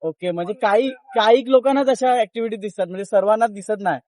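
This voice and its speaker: A man's voice: high voice, fast, a little mellow, no room sound. A young man talking casually to viewers as a vlogger, switching between languages and repeating himself. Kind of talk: casual vlog chat to viewers. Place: mostly outdoors in windy countryside.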